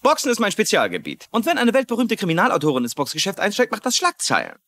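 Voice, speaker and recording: An actor playing an insolent, needling, insistent sports journalist in a TV crime drama dub. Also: male voice, high-pitched, huge pitch swings, fast talker, bright, forceful tone, no echo, exaggerated voice. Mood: pushy. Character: pushy, insolent male sports reporter. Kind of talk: German-dubbed TV crime drama.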